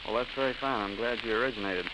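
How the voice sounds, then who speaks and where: An American man hosting a 1930s radio interview, polite and halting in his delivery, on an old recording. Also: mid-range voice, heavy background noise.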